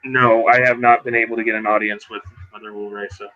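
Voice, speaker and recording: A man playing a haunted, uneasy werewolf who escaped captivity, speaking plainly and haltingly in a roleplaying game. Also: on the low side, level tone, sharp tone, dull audio. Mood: earnest, halting.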